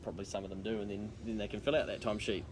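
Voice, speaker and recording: Low-voiced Kiwi man, noisy background. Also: level tone, very fast. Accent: New Zealand. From New Zealand. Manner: matey, informal, slangy. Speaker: accountant and business adviser co-hosting a video. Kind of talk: casual chat to camera for a live social video.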